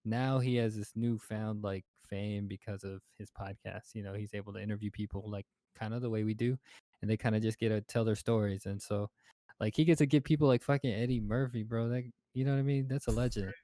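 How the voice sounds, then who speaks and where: A man in his twenties hosting a laid-back podcast, his voice low and mellow. He rambles through long stories packed with fillers and casual swearing. The sound is dry and close to the mic. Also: steady tone, fairly quick, dry recording. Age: 20s. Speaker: podcast host.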